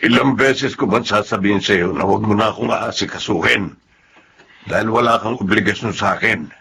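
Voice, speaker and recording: A man's voice: on the low side, normal speed, vocal fry, bright, forceful tone, slight background noise. An actor playing a harsh, stubborn, bitter Filipino father in a radio drama, stern and confrontational.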